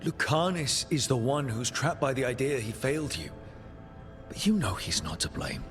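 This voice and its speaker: An actor playing a loyal, resolute video game hero, speaking in an earnest, reassuring manner. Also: male voice, mid-range voice, big pitch swings, moderate pace, a bit bright, soft background music. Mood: calm, firm. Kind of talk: video game character acting.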